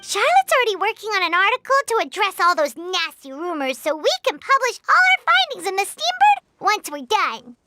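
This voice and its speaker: A woman voicing a tiny, nosy, excitable female fairy companion who talks about herself in the third person. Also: very high voice, brisk, hardly any pauses. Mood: chirpy. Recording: game soundtrack music behind. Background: game music.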